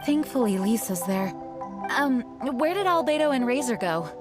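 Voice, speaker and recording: A woman playing a dutiful young knight commander in a video game, with a formal, polite and measured manner. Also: fairly high pitch, very animated. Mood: concerned. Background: background music.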